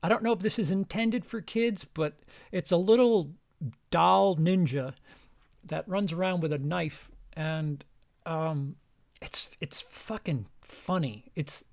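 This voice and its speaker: A man with a somewhat high, gravelly voice talks unscripted through his film rankings. He rambles, restarts his sentences often and swears casually. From lived in Denmark. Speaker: channel host ranking films.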